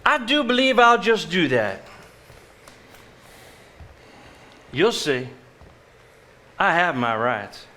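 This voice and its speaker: A greedy, blustering husband. His voice sits high and swings widely in pitch. He is indignant and quick to sputter about what he is owed. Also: huge pitch swings, sharp tone, heavily acted. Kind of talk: live stage play acting.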